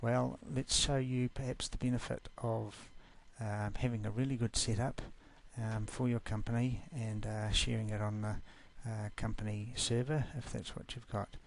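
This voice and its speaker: A man from New Zealand with a New Zealand accent, giving an easygoing, informal screencast walkthrough of a software class. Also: on the low side, flat delivery, normal speed, creaky, few pauses, a little hiss or hum.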